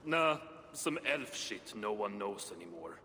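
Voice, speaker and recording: A man's voice: on the low side, very animated, measured pace, bright, forceful tone, full character voice. An actor playing a sceptical, dry-humoured dwarf craftsman with a terse, dismissive way of talking in a video game. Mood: grave, gruff. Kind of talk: video game cutscene acting. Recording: long reverb, faint background noise.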